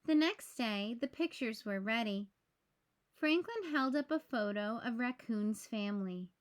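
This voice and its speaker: A woman reading a children's storybook aloud in measured, clearly phrased narration. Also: a little high, lively intonation.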